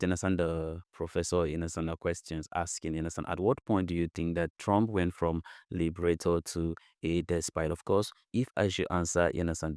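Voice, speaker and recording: A man's voice: low voice, a little mellow, smooth, no echo, clean studio sound. A man giving informal commentary, leaning on a repeated filler phrase and using non-standard grammar. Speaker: commentator reacting to a debate clip.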